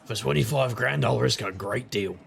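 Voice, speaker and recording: An actor playing a hard-drinking, roguish private investigator in a noir audio drama, gruff and husky, with wry, world-weary wisecracks. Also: male voice, medium pitch, moderate pace. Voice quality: gravelly, husky.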